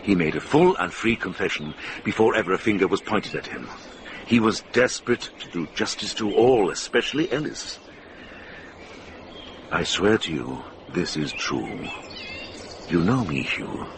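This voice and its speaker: An actor playing a wise, earnest, middle-aged monk and former soldier in a radio drama. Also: male voice, low-pitched, big pitch swings, moderate pace, noisy background. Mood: earnest pleading.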